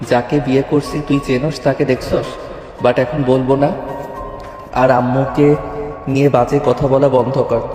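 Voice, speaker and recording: A man's voice: medium pitch, mellow, close to an everyday voice. In a reverberant audio drama with music underneath, a man plays a principled young male student. He speaks evenly and seriously, holding himself back. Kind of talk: Bengali audio story acting. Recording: echoey long reverb, music bed.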